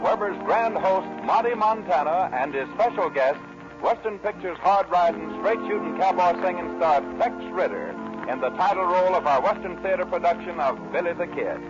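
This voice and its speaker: A man's voice: high-pitched, normal speed, muffled recording. A man hosting a 1940s radio show, announcing from a script on a vintage recording with western music behind him.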